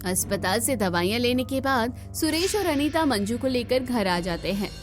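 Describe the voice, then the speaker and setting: A woman's voice: fairly high pitch, animated, moderate pace, music in the background. A woman narrator reading a scripted moral story for a cartoon.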